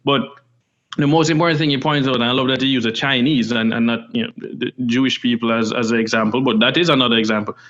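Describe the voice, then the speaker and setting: A man's voice: medium pitch, fairly even intonation, quick pace, a bit bright, muffled recording. A man from Jamaica, a guest on an interview podcast, making his points earnestly and step by step. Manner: earnest, emphatic, builds points in numbered steps. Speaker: investment firm CEO, guest.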